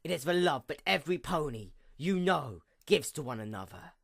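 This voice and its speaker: A performer voicing a bitter, vengeful pony goddess of the night in a grandiose, formal dramatic monologue that moves between outbursts and hushed menace. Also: mid-range voice, animated, normal speed, vocal fry. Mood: cold, gloating. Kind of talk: dramatic reading, theatrical villain monologue.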